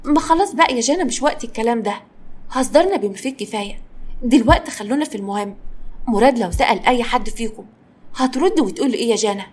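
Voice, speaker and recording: A bossy girl ringleader in a dramatized story, voiced by a woman, speaks high and fast. She is confident and commanding, keeping the plot on track. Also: expressive, a little mellow, husky. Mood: impatient. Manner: brisk, commanding.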